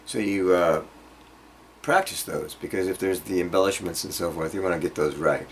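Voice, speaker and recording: A man giving an informal guitar lesson, explaining haltingly and checking in with the listener as he demonstrates phrases. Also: deep voice. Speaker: guitar teacher. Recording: loud acoustic guitar alongside the voice. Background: guitar being played between phrases.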